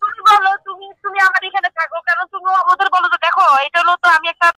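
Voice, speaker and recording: A woman's voice: very high-pitched, fairly even intonation, racing, pushed and bright, rough recording. A middle-aged woman with a Bangladeshi accent, talking insistently and repetitively in an agitated conversation over a phone speaker. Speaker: prank call recipient. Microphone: phone call.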